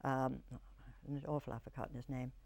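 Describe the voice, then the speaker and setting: An older woman, an actress, reminiscing in an interview, telling stories in a reflective, halting way. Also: a bass voice, flat delivery, gravelly, a little mellow.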